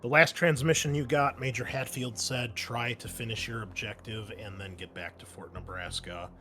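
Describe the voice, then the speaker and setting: A man's voice: mid-range voice, fairly even intonation, moderate pace, sharp tone, slight background noise. A man speaking informally and conversationally as game master, narrating and refereeing a tabletop roleplaying game.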